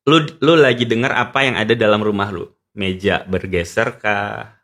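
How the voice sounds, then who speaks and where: A man's voice: fairly low pitch, fairly even intonation, moderate pace, a bit bright. A man with a Jakarta Indonesian accent explaining things casually as a podcast guest, using examples and dropping in English terms. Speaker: podcast guest, psychology commentator.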